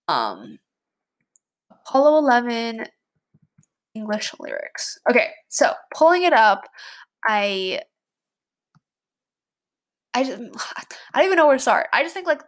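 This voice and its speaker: Young female podcast host, stuffy-nosed, rambling casual chat, mid-pitched. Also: fairly bright tone. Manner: chatty, heavy use of "like", many restarts. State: congested, stuffy nose.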